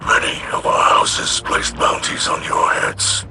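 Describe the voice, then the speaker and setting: A man's voice: a little slow, bright, forceful tone. A man playing an honourable, loyal alien house leader in a video game, terse and formal. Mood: stern. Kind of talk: scripted video game acting.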